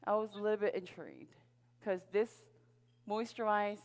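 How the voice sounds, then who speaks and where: A woman's voice: medium pitch, normal speed. Female stage presenter, middle-aged, Korean native speaker. Upbeat, audience-rallying sales talk with personal storytelling, heard through a hall's long reverb. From Seoul, South Korea. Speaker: sales presenter at a network marketing event. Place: large event hall on stage. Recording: reverberant hall PA sound.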